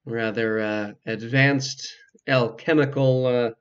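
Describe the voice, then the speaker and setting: A man giving casual, measured commentary as he hosts a video game walkthrough, explaining things hesitantly with dry asides. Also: medium pitch, fairly even intonation, clean tone, dull audio. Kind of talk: casual let's play commentary.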